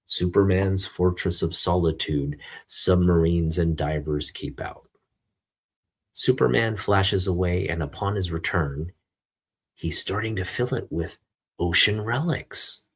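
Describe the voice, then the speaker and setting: Low, husky man narrating a comic. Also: a bit bright. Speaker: host reading comics aloud. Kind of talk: dramatic comic book narration.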